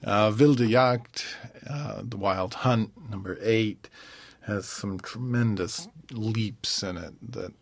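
A man, a concert pianist, answering interview questions thoughtfully and pausing often to find his words. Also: lowish voice, no echo. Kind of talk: relaxed radio interview.

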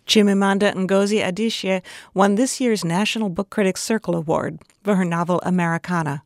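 Radio announcer reading the outro off a script, crisp, dry close sound. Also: medium pitch, fairly even intonation, fairly quick, dry recording. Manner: crisp, read-from-script delivery.

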